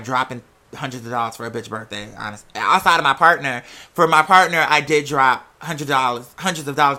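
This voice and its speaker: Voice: medium pitch, bright, forceful tone. A young adult vlogger telling personal stories in a casual, rambling way, with sassy, emphatic, profanity-laced slang. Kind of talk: casual rambling storytelling to camera or mic.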